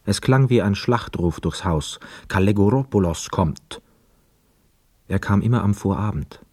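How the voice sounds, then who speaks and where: A pensive war returnee narrating a radio drama. His voice is deep, smooth and mellow, measured and reserved, recorded close and dry. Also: expressive, no room sound. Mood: brooding. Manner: measured, reflective. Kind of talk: literary radio play narration.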